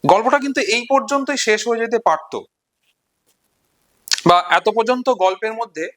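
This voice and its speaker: A man retelling and explaining a short story in an unscripted lesson, deliberately, pausing between short phrases. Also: high voice, a bit bright. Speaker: literature explainer.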